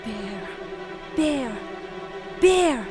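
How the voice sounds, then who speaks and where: A woman's voice: on the high side, big pitch swings, extremely slow, music in the background, exaggerated voice. An actress playing a proud, fiery widowed lady in a theatrical audio drama, haughty and declamatory. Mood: scornful, furious.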